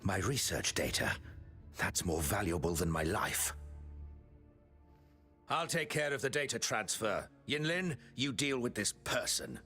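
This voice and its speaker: An actor playing an obsessive, callous puppet-maker villain in a video game, theatrical, swinging between musing and menace. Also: male voice, on the low side, very animated, unhurried, long pauses, sharp tone, breathy edge, faint music behind, heavily acted. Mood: cold, commanding.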